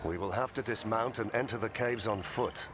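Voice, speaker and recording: An actor playing a stern, level-headed knight commander in a video game, speaking formally and with authority. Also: male voice, on the low side, normal speed, noisy background, natural voice. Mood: commanding. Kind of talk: scripted video game dialogue.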